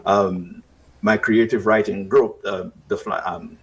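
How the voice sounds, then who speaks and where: A Dominican-accented man talks on a radio panel in a low, slightly husky voice. He is earnest and reflective, often restarting his sentences as his thoughts unfold. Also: steady tone, slow pace, rough recording. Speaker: writer, festival committee member. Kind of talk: radio talk show discussion. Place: radio studio or remote call.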